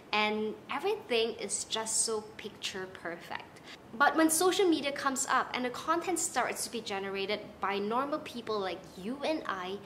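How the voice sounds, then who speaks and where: A young woman from Malaysia with a Malaysian accent, giving casual, animated advice to the camera from her living room. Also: a little high, slight background noise. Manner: chatty and animated, gesturing with her hands. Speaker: content creator. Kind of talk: casual talking-head vlog advice. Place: small apartment living room, indoors.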